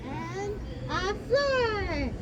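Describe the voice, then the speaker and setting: A woman's voice: big pitch swings, extremely slow, crowd noise, full character voice. A woman playing a small, sly red squirrel with a taunting, folksy manner in a storytelling puppet show. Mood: sly teasing. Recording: noisy outdoor live recording, traffic rumble.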